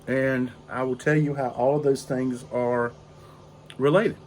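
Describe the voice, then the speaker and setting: An older man, raspy and hoarse from a cold, rambling slowly through a casual story on his porch. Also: mid-range voice, steady tone, slight background noise. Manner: slow, rambling, with frequent fillers. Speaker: pipe-smoking video host. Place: outdoor porch in drizzling rain.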